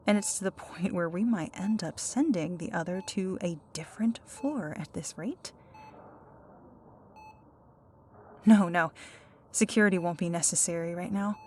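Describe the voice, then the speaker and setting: Woman acting fed-up, sarcastic superhero, lowish voice. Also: darkish tone, a little hiss or hum, natural voice. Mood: polite, weary. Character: exasperated, sarcastic female superhero as injured hospital patient. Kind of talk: ASMR roleplay audio drama.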